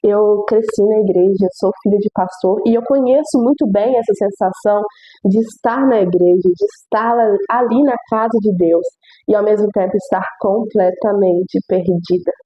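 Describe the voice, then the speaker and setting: A woman's voice: mid-range voice, normal speed, mellow, husky, rough recording. A young woman, congested and nasal from a cold, earnestly reading scripture and reflecting on it in a devotional video, often repeating phrases for emphasis.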